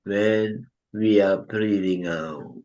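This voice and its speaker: A man leading a guided breathing meditation, giving calm instructions with long pauses. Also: lowish voice. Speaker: meditation teacher.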